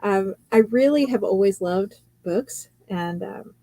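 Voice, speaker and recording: A woman, a fantasy author and editor, telling her story in a casual live chat, chatty and quick to laugh, often quoting what others said. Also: mid-range voice, dark tone, no echo.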